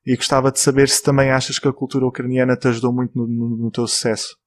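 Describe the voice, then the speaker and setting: A young man from France with a European Portuguese accent, hosting a casual podcast chat with a relaxed, chatty manner. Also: medium pitch, quick pace. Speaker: podcast host.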